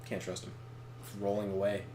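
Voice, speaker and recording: A man's voice: lowish voice, completely flat delivery. Man rambling casually in an echoey room. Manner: halting, digressive, trivia-minded. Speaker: co-host of a game stream. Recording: echoey room, faint background noise.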